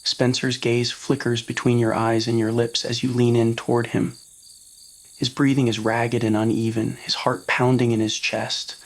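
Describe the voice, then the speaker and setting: Casting: narrator with a medium-low, unclear-gendered voice for scripted romantic roleplay fiction. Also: little pitch movement, normal speed, poor audio. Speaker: narrator of fan roleplay audio stories.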